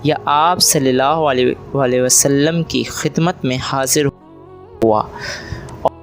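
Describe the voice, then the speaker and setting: Slow, formal male religious narrator. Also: mid-range voice, steady tone, soft background music. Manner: formal, measured. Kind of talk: scripted Islamic religious narration.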